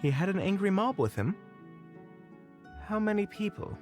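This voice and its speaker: A man playing a formal, cautious hunter in an audio roleplay, earnest, measured and deliberate. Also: high voice, expressive, a little mellow, soft background music. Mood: thoughtful.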